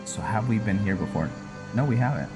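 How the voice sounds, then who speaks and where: A man's voice: on the low side, animated, a little fast. A man giving casual, informal playthrough commentary and roleplay, thinking aloud as he goes. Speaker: gaming host and role-player. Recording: loud game music and rain behind. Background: game music, rain sounds.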